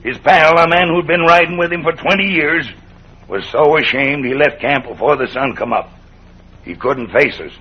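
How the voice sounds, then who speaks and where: An actor playing a stern, stubborn cowboy camp cook in an old western radio drama, speaking in a plain, firm drawl with a husky voice. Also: male voice, fairly high pitch, moderate pace. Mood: grave, defiant. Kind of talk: 1940s-50s western radio acting. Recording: dull old radio recording.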